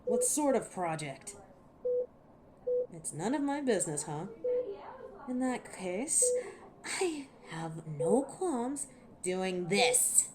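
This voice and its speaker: A woman's voice: mid-range voice, sing-song, takes it slowly, long pauses, a crowd in the background. A woman voicing a cocky, ancient werewolf in an audio roleplay, teasing and menacing with a sardonic, impatient manner. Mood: mocking, defiant. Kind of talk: scripted audio roleplay monologue to a listener.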